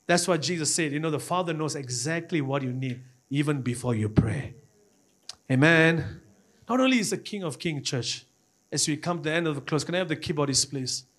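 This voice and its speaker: High-voiced Malaysian man preaching. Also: lively intonation, brisk, a bit bright. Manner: repetitive, exhorting, often addressing the congregation. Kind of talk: impassioned church sermon.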